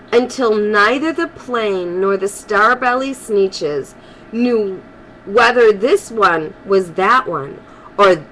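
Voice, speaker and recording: An older woman reading a storybook aloud to children, animated, pausing for emphasis. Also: medium pitch, a little hiss or hum, low-quality recording. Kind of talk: expressive storybook read-aloud.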